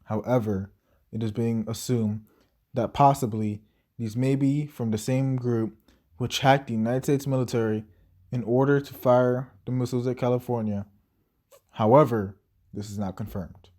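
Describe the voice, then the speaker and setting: A man's voice: mid-range voice, fairly even intonation, moderate pace, mellow, natural voice. A man playing a local TV news anchor in an audio drama, with a formal announcer delivery. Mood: composed. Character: local TV news anchor, male, composed then shaken.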